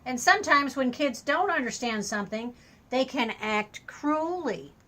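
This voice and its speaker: An older woman reading a children's story aloud as a grandmotherly storyteller, adding simple, expressive asides to explain things to a small child. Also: sits high, measured pace.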